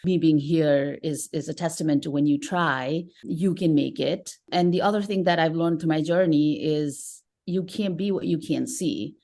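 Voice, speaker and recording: Low-voiced Nepali-born woman guest. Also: normal speed, few pauses, mellow. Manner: earnest, thoughtful, with frequent restarts. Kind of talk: conversational interview.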